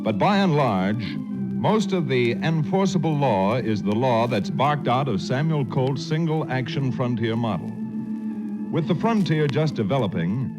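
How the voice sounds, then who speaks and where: An old, muffled radio western recording with music behind. A man plays a genial frontier lawyer telling his adventure in a medium-pitched voice, swinging his phrases with lively, plain-spoken confidence. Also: lightly acted. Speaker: lead actor and narrator. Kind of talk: 1940s radio western narration.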